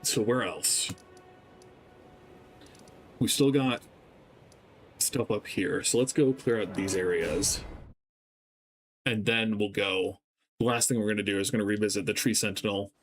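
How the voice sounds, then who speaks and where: A young man streaming a game, talking in a gentle, soft-spoken, rambling way with lots of ums. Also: on the low side, a little slow, long pauses. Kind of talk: casual gameplay stream chat. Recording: game music playing behind. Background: game music.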